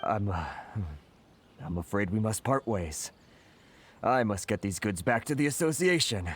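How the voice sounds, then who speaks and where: An earnest, grateful merchant. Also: male voice, lowish voice, animated, a little slow, slight background noise. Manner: earnest, stammers with gratitude. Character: grateful, anxious young male merchant.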